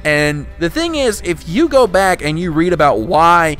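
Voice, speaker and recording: A man's voice: a little high, lively intonation, unhurried, a bit bright, faint music behind. A young man hosting a casual podcast, talking in rambling, restart-heavy stretches with some swearing. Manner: rambling, full of restarts and profanity. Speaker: podcast host.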